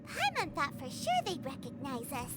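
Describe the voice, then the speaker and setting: Tiny squeaky fairy sidekick, very high, bouncy inflection, loud game music behind. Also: female voice, wildly swooping pitch, normal speed, heavily acted. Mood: curious. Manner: eager, chattery. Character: tiny chirpy female fairy companion, curious.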